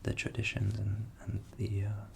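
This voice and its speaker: A young man who grew up in England with Ukrainian as his first language, whispering softly as an ASMR host answering viewer questions and thinking aloud. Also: lowish voice, completely flat delivery, normal speed, creaky, slight background noise. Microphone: studio USB microphone.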